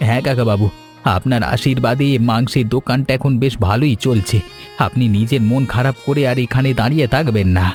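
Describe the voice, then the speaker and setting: A man voicing a friendly village meat seller in an animated story, respectful and consoling. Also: medium pitch, brisk, faint music behind, close to an everyday voice. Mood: sympathetic. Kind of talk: Bengali animated story dialogue.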